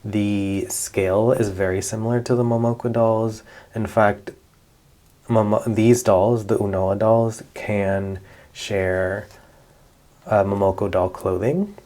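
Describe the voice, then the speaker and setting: Man, lowish voice, slow unhurried pace, casual vlog chat, lots of ums. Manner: relaxed and chatty, with many fillers and self-corrections. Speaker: doll collecting vlogger. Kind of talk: casual show-and-tell vlog.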